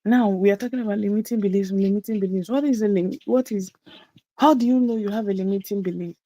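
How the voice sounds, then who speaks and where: A woman's voice: medium pitch, quick pace, dark tone, dry recording, low-quality recording. A woman coaching a group through a motivational teaching session, speaking emphatically and repeating key phrases for stress. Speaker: visibility coach.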